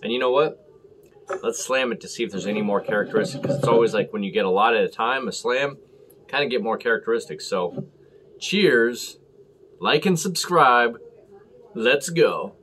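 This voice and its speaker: An American man hosting a casual beer review to camera, talking in halting, clipped short phrases. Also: medium pitch, lively intonation, a bit bright, a little hiss or hum. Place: small room at home, indoors.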